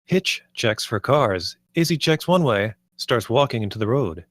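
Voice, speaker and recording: A man's voice: medium pitch, expressive, a little slow, a bit bright, dry recording. Dry-sounding man narrating a script. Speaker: narrator reading stage directions. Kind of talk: scripted narration at a table read.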